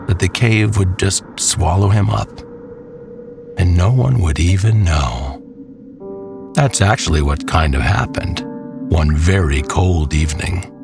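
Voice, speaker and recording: A man narrating a scripted horror tale in a dramatic, measured way with pauses. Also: low voice. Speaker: storyteller. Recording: music behind the voice. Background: clear background music.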